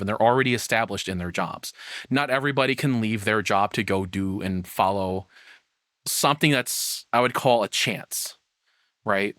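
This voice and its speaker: A man's voice: fairly low pitch, a bit bright, no room sound, studio quality. A man giving unscripted reaction commentary as a streamer, rambling and questioning himself in casual language.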